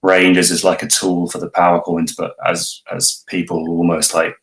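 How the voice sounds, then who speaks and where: A man's voice: sits low, completely flat delivery, normal speed, fairly bright tone. A man who works as a voice actor, talking reflectively in a casual online interview. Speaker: voice actor, cast member. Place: online voice call.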